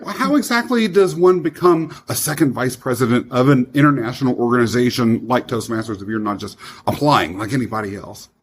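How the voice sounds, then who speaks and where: A man's voice: medium pitch, brisk, few pauses, breathy edge. A man hosting a podcast, asking questions and encouraging his guest with enthusiasm. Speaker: podcast host. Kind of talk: interview questions.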